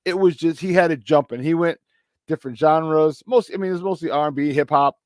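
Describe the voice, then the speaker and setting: A man hosting a casual morning sports talk show, his voice a little hoarse, repeating words for emphasis and laughing often. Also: a little high, fairly quick, dry recording, clean studio sound. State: slightly hoarse. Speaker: sports talk radio host.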